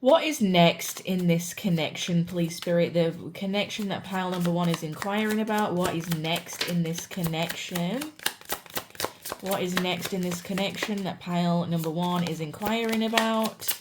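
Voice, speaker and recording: A woman's voice: lowish voice, normal speed, heavy background noise. A young woman from the UK, a tarot reader with a sore throat and a voice that cracks at times, giving a chatty, reassuring pick-a-card reading. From United Kingdom. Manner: chatty and reassuring, says "you know" and "guys" a lot.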